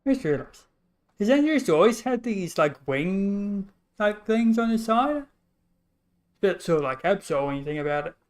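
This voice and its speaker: A woman's voice: medium pitch, lively intonation, moderate pace, darkish tone. A woman game streamer giving casual commentary, close on the mic over game audio.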